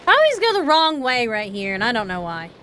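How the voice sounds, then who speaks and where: A woman's voice: on the high side, huge pitch swings, brisk, a bit bright, a little hiss or hum. A woman livestreamer doing live game commentary and reading dialogue aloud, with crude, blunt joking. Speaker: livestreamer, VTuber.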